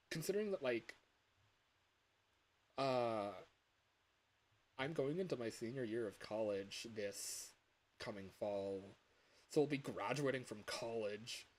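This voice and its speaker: A man in his early twenties chatting casually on a livestream as a game developer, rambling in an excitable, self-deprecating, giggly way. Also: medium pitch, slow, pauses often. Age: early 20s. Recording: hissy home livestream audio.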